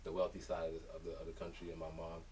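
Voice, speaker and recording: Deep, fast-talking man, slightly off-mic. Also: monotone, a little mellow, distant mic. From born in Liberia, raised in Lowell, Massachusetts. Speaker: podcast guest, music artist. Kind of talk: casual slangy conversation. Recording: off-mic with faint room noise.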